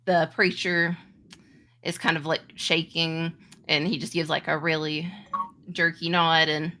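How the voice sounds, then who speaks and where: Slow-paced, bright-voiced woman narrating. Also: sits low, level tone. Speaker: game master. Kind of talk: improvised tabletop game narration.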